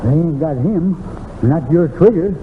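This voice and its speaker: High-voiced cranky old cowboy sidekick. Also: male voice, expressive, a little slow, narrow, tinny audio. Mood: worried. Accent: American. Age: older. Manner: rustic, folksy grumbling. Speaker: actor playing a comic sidekick. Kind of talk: 1940s western radio acting. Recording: noisy, thin old radio transfer.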